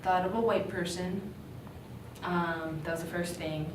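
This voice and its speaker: Low-voiced young woman, echoey and noisy. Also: fairly even intonation, measured pace. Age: young adult. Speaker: student interviewee. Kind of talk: casual interview answers. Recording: echoey room, distant mic, clear noise.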